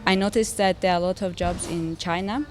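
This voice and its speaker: A young woman from Armenia who grew up in Russia and speaks Russian as her first language. She tells her life story as a podcast guest, warmly and with frequent laughter. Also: lowish voice, fairly even intonation, a little fast, soft background music. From Armenia, raised in Russia. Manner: friendly, laughs often, clear storytelling. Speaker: guest, interior designer. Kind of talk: casual podcast interview.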